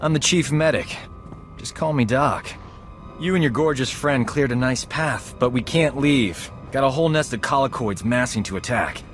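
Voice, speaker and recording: A gruff, battle-weary male medic of a video game, voiced by an actor, barking blunt orders in a mid-range voice. Faint music runs under his lines. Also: medium pitch, moderate pace, natural voice. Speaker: chief medic.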